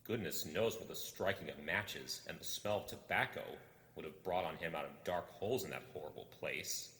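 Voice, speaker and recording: A man narrating a storybook expressively, in a measured, dramatic delivery with deliberate pauses. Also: low voice, a bit bright. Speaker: audiobook reader. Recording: roomy echo with long reverb.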